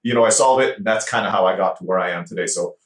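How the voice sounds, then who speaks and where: A man born in the Philippines and raised in Turkey, a guest on a podcast, telling his story in casual, animated interview talk. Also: deep voice, rapid-fire. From born in the Philippines, grew up in Turkey. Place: home office in Mexico. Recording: echoey room.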